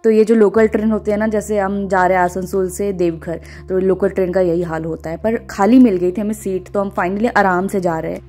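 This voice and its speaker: A woman's voice: mid-range voice, steady tone, brisk, few pauses, warm tone. Chatty young woman vlogging over music. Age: 20s. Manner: chatty, informal, addressing viewers. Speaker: travel vlogger. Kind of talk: casual vlog narration. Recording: music under the voice. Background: background music.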